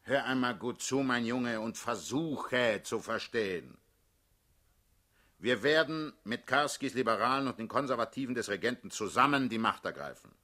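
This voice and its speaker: A hard-headed older revolutionary boss in a radio drama. His voice sits at a middle pitch with a bright, forceful edge. He lectures with pragmatic, condescending authority. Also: moderate pace. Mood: calculating, patient. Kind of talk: theatrical radio drama acting.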